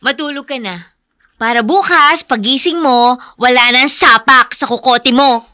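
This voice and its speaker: A woman's voice: high-pitched, a little slow, bright, forceful tone. A woman playing a protective, scolding, sassy young woman in a radio drama. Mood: chiding.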